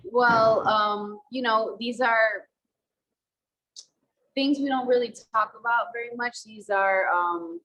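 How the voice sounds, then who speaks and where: A woman's voice: on the high side, takes it slowly, a bit bright, low-quality recording. A woman in her thirties, from the Dominican Republic, talking casually over a video call about her acting work, mixing Spanish and English with many fillers. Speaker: actress interviewed about a film.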